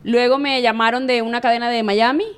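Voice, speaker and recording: A Venezuelan woman in her late thirties, a sports journalist telling stories candidly in a relaxed interview. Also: fairly high pitch, smooth. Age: 30s. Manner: chatty and candid, rapid storytelling. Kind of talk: casual interview chat.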